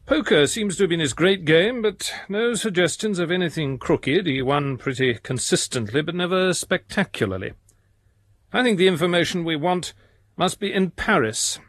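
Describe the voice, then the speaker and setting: English detective, close and dry-sounding. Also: male voice, high voice, expressive, moderate pace, a bit bright, no room sound. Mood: businesslike, wry. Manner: precise, businesslike, dry. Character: earnest, methodical police detective reading out his letter. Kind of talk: British radio drama, letter voice-over.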